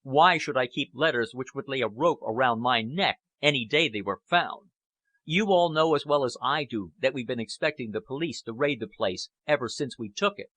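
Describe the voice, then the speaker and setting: A man acts out a hot-tempered secretary in an audiobook novel. He is defensive and insistent, pushing his sharp voice loudly with animated pitch. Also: moderate pace, no room sound. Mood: passionate, defiant. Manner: angry, insistent. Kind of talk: audiobook character acting.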